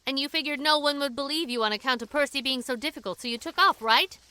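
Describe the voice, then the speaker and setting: A woman's voice: high-pitched, fast, pushed and bright. A woman acting in a radio drama as a feisty, plain-spoken woman who pleads earnestly and urgently. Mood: earnest persuasion.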